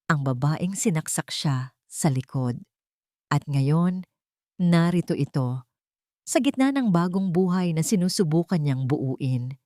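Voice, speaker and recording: A woman narrates a dramatic romance story in a lowish voice. The recording is dry and close, with no room sound. She delivers it as scripted storytelling. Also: mellow, dry recording.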